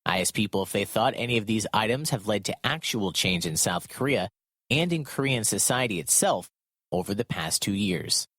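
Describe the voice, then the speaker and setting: A man reporting and narrating a scripted radio news piece in a measured, formal read. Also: fairly low pitch, expressive, fairly bright tone, dry recording, studio quality.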